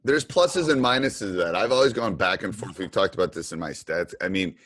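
A man's voice: deep voice, brisk, a bit bright, slightly rough, no room sound, studio quality. A middle-aged man hosting a podcast, chatting casually in a rambling, enthusiastic way. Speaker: podcast host and basketball coach. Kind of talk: casual podcast interview chat.

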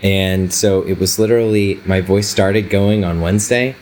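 A man with a low voice, chatting on a podcast in a loose, rambling way with plenty of ums. His voice is still not quite back after losing it, and a faint hiss sits under the recording. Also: moderate pace. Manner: relaxed, rambling, hesitant with fillers. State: voice not fully recovered from laryngitis. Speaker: podcast co-host, wedding photographer. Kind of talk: casual chat.

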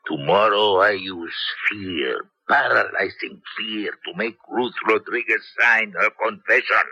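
An actor playing a sadistic, menacing army captain and interrogator with a Spanish accent and a rough voice in a radio drama. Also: male voice, fairly low pitch, measured pace, sharp tone, no room sound, exaggerated voice. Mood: cold menace. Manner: menacing, theatrical. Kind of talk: 1970s radio drama acting. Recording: dull lo-fi old radio broadcast.

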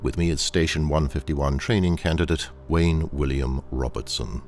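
An actor playing a cold, menacing corporate training engineer in an audio drama, speaking in a clinical, curt, commanding manner. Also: male voice, extremely low pitch, normal speed, a little mellow, soft background music. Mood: clinical, controlled.

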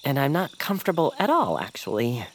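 An actor playing a timid, supportive male bird, hesitant and gently earnest, in an audio drama. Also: mid-range voice, wildly swooping pitch, brisk. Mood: nervous.

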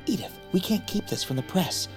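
An actor playing an anxious, flustered presidential doctor and reluctant accomplice in an audio drama, often hushed. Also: male voice, high-pitched, very animated, a little fast, music in the background. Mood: alarmed, flustered.

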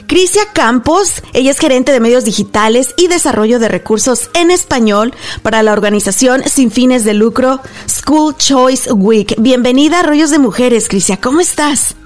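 Higher-voiced woman hosting a show. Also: lively intonation, normal speed, hardly any pauses. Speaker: radio show host. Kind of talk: conversational hosting and interviewing.

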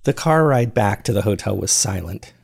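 A man narrating a first-person audio drama as a weary, reflective man, measured and introspective in his storytelling. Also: fairly low pitch, animated, creaky, darkish tone, breathy edge, heavily acted. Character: weary man recounting strange events, reflective and uneasy. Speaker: narrator and host of a fiction podcast.

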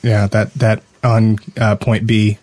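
A man's voice: on the low side, level tone, slow, darkish tone. A man co-hosting a casual gaming podcast, chatting in a hesitant, rambling, conversational way. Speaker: podcast co-host.

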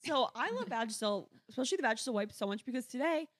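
A young woman co-hosting a podcast in casual chat, excitable and giggly, telling stories with drama. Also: a little high, quick pace, no echo, clean studio sound. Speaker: podcast co-host.

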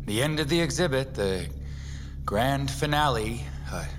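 An actor playing a shaken, disgusted appraiser in an audio drama, halting and repeating himself, often dropping to a hush. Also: male voice, mid-range voice, animated, background noise, natural voice. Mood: bitter irony. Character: shaken, disgusted professional appraiser, unravelling as he talks. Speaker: appraiser examining an exhibit. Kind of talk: audio fiction monologue. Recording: music under the voice.

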